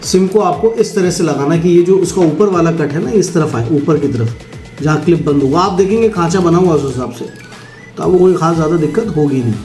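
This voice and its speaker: A man with a soft, mellow tone explains a product step by step. He talks casually and instructively, straight to the viewer. The room is noticeably echoey, with faint music underneath. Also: fairly high pitch, expressive, fairly quick. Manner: instructional, addresses viewers directly with frequent check-in tags. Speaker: product demonstrator. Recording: roomy echo, faint music.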